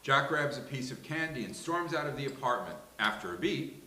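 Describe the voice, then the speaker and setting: A man reads script directions at a live table read. His voice is very sharp and bright, with lively swings in pitch, in a roomy space. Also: brisk. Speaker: supporting reader. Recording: echoey live room recording.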